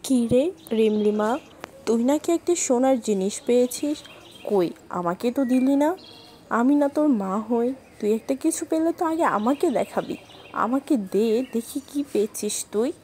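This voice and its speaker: A woman's voice: a little high, normal speed, warm tone, slight background noise, lightly acted. A woman voicing a bossy, nagging village stepmother in a cartoon moral story. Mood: wheedling. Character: harsh, scolding village stepmother, doting on her own daughter.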